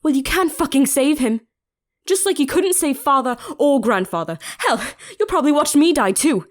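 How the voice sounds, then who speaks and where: A young woman playing a proud, defiant, sharp-tongued princess in an audio drama, blunt and sarcastic. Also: sits high, lively intonation, normal speed. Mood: bitter, angry.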